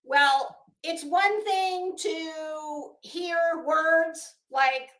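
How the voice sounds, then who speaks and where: Woman with a high voice doing a blunt sweary rant, slow and halting, kinda echoey room. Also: a bit bright. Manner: blunt, profane, emphatic, halting with drawn-out words. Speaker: online commentary host. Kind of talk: casual rant commentary addressed to viewers. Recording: roomy echo.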